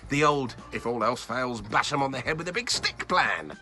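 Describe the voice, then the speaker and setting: A man hosting and reading a children's bedtime story in a playful, expressive, child-directed way. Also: medium pitch, moderate pace, soft background music. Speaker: children's story reader and host.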